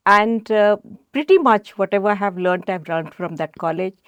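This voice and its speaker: A woman's voice: mid-range voice, lively intonation, clean tone, no room sound. A middle-aged woman from Odisha with an Indian English accent, a college principal speaking thoughtfully as a podcast guest. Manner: thoughtful, articulate, measured. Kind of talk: podcast interview.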